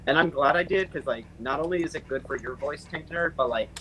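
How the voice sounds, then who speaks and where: Rambling young man, slightly high voice. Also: brisk, slight background noise, poor audio. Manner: rambling, full of fillers and restarts, says his natural voice is quiet. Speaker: channel owner and voice actor. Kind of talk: casual chat over a voice call.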